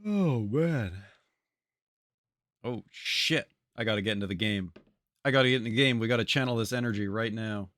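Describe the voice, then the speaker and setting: A man's voice: lowish voice, normal speed, pauses often, fairly bright tone, no room sound, studio quality. A man streaming live and chatting casually with his viewers, laid-back and slangy with free swearing, his voice sounding slightly congested. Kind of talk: casual live-stream chat while gaming.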